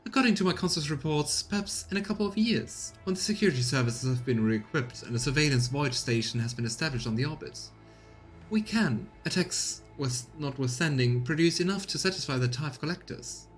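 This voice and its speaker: A man's voice: a little high, a little fast, soft background music, natural voice. A man voicing a courtly, self-assured female governor in a video game, speaking in formal, flowery and deferential phrases. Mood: measured, apologetic. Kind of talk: read-aloud game dialogue.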